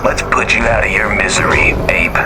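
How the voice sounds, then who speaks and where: An actor playing an urgent soldier giving orders in battle in a sci-fi audio drama. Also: male voice, lowish voice, moderate pace, over loud music, natural voice, rough recording. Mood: urgent, commanding.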